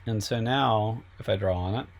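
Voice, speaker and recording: A man, a professor, explaining software step by step in a relaxed way with many fillers, slightly sick and losing his voice. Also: fairly low pitch, normal speed, gravelly. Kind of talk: screen-recorded software tutorial.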